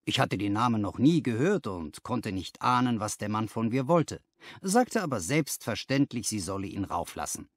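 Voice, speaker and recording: A man reads a first-person story for an audiobook. His storytelling is expressive, with very varied intonation. The recording is dry and close. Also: on the low side, moderate pace, dry recording. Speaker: audiobook narrator.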